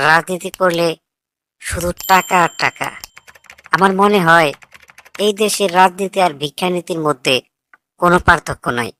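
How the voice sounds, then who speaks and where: A woman's voice: on the low side, a bit bright, no echo. A woman voicing a defiant, idealistic young graduate in a cartoon, arguing in a sarcastic, lecturing way. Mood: sarcastic, bitter. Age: young adult. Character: defiant, idealistic grown child of a politician, foreign-educated. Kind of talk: scripted cartoon dialogue.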